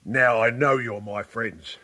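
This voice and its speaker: A man's voice: medium pitch, fairly even intonation, slow pace, bright, forceful tone, low-quality recording. A man playing a big, volatile but sentimental prison inmate in a comic radio play, speaking with childlike earnestness. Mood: earnest, hesitant.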